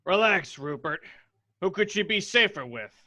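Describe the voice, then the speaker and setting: Man playing a bright-voiced reassuring police commissioner. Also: high voice, lively intonation, moderate pace, a little hiss or hum, exaggerated voice. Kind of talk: script table read.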